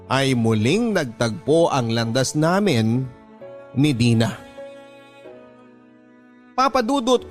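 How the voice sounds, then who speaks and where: Casting: male radio drama narrator, Filipino accent, mid-pitched, measured and sentimental first-person storytelling, music underneath. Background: music bed.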